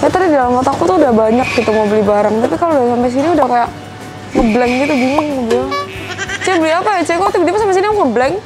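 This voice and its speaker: Young female vlogger, chatty and musing aloud, bright-ish higher register, music bed underneath. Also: animated, very fast. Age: young adult. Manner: chatty, thinking aloud while browsing shelves. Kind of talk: casual shopping vlog chat. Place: large supermarket indoors.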